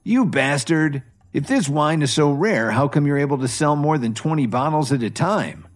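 A man voicing a brash, drunk young man who blusters and protests loudly. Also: on the high side, huge pitch swings, measured pace, faint music behind, pitched above the performer's own voice, full character voice. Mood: outraged. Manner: loud, indignant.

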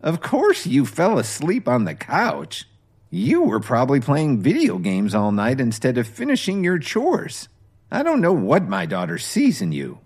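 Bossy older mother-in-law, scoffing and sharp, pitched way up high, a man doing her. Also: wildly swooping pitch, moderate pace, husky, full character voice. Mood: scornful.

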